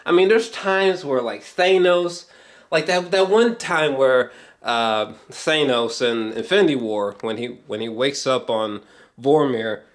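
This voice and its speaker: A man of medium pitch delivers an unscripted, casual reaction as a film vlogger. He is excitable and emphatic, rambling through halting thoughts, doubling back on phrases and swearing freely.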